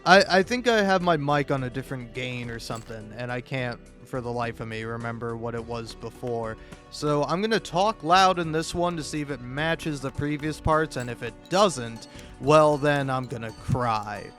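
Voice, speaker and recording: A man doing casual, comedic, rambling gaming commentary, halting and stammering, self-deprecating and freely profane. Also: mid-range voice, fairly bright tone, faint music behind. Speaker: video creator and host.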